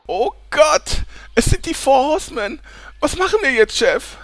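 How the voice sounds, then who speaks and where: An actor playing a villain's clingy, excitable male sidekick, gushing and prone to shrillness, in a comedy audio play. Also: very high voice, unhurried, no echo, heavily acted. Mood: excited, panicky.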